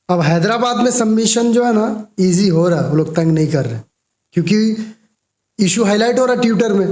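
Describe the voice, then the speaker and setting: High-pitched Indian man, roomy sound. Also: darkish tone. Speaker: vlogger commenting on a call recording. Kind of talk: informal explanatory commentary in Hindi, addressing viewers.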